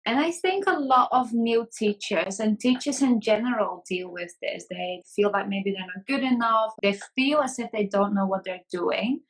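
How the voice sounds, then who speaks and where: A woman with an ordinary mid-pitched voice who hosts a podcast. She asks her guest questions in a warm, encouraging way and laughs easily. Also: moderate pace, few pauses, rough recording. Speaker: podcast host. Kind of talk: podcast interview.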